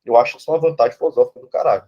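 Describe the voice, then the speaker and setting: A man's voice: mid-range voice, racing, dark tone, lo-fi sound. A Brazilian man, a philosophy professor, lecturing informally and bluntly, often checking in with "né?" and "tá certo?". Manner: conversational, tags lines with "né?" and "tá certo?"; blunt, casually swears.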